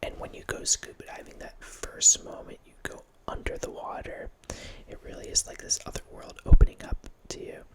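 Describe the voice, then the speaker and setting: A man in his thirties, an ASMR host, whispering in a chatty, rambling way close to a studio mic in a room at home. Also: normal speed. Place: home room with bookshelves, indoors. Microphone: large studio mic close up, wearing headphones.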